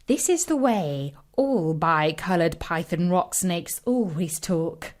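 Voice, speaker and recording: Woman reading a kids story, takes her time, expressive with emphatic phrasing. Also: lowish voice. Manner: expressive, deliberate, emphatic repetition. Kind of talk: scripted children's story narration.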